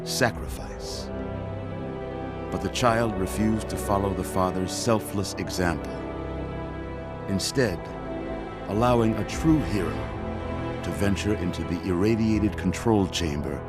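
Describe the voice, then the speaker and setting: Low solemn game narrator, portentous n deliberate, big music underneath. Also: male voice. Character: grave, solemn storyteller narrating an epilogue. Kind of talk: scripted cinematic game narration. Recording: voice over loud score.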